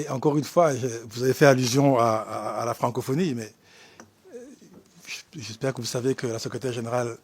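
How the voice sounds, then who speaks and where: Husky, emphatic male diplomat from Rwanda. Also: medium pitch. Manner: emphatic, halting, repeats himself. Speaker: ambassador answering a parliamentary hearing. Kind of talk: spontaneous formal answers at a hearing.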